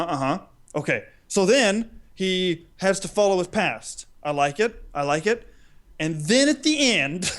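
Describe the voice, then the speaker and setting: Man, slightly high voice, podcast co-host, animated, rambling, casual comic storytelling. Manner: animated, rambling, acts out imagined dialogue. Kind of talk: casual humorous chat, storytelling.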